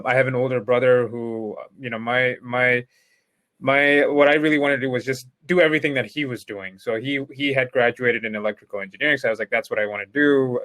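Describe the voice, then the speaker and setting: Male panelist from Pakistan, easygoing storyteller, medium pitch, dead-dry sound. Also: no echo. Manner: relaxed, reflective, uses "you know" often. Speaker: panelist, entrepreneur. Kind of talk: conversational storytelling.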